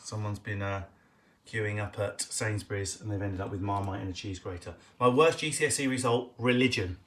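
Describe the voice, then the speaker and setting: A man with an Essex accent doing casual improvised stand-up as he answers viewers' questions on a live stream from home, cheeky and quick, with loud bursts and muttered asides. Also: fairly low pitch, a little hiss or hum. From England. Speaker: comedian hosting a live Q&A stream. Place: at home, indoors.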